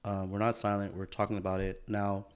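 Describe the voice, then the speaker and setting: A man's voice: fairly low pitch, completely flat delivery, a little fast, smooth. A young American man co-hosting a podcast, chatting loosely with plenty of fillers and casual swearing. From United States. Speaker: podcast co-host. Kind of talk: casual chat.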